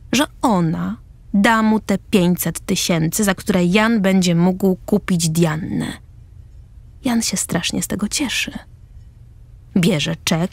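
Slow husky woman narrator. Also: mid-range voice, darkish tone, no echo. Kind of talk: radio drama narration.

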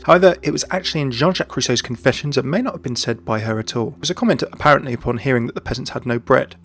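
Man, fast-talking, gaming video host, scripted explainer narration, faint music. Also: medium pitch, fairly bright tone. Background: background music.

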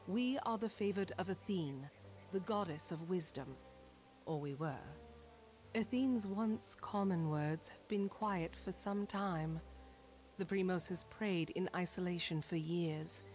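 A low-voiced, solemn warrior guide. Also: female voice, darkish tone, soft background music. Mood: grave, regretful. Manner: formal, grave, measured. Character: solemn armored female guide of an ancient people. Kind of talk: scripted fantasy video game dialogue.

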